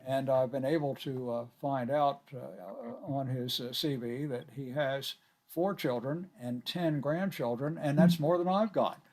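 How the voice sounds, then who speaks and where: Halting older man chairing a meeting. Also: medium pitch, a little mellow. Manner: halting, many filler uhs, courteous. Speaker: board chairman. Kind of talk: chairing a video-call board meeting. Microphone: video call.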